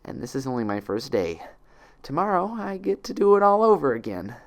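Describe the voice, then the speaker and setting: A man's voice: a little high, sing-song, brisk, pitched above the performer's own voice. A man voicing a young female unicorn patient in an audiobook-style story, dry, sarcastic and anxious. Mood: despairing, anxious. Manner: dry, sarcastic, self-pitying.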